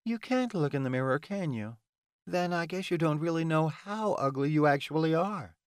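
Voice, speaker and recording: Man voicing a feisty woman, lifted pitch. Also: animated, normal speed, clean tone, no room sound, studio quality, natural voice. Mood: sarcastic. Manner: sarcastic, challenging. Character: feisty, defiant mortal woman in love.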